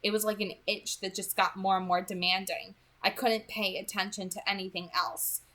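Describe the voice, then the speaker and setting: A woman's voice: medium pitch, flat delivery, normal speed, bright, forceful tone. A woman reading a novel aloud as a hobby audiobook narrator, telling the story expressively.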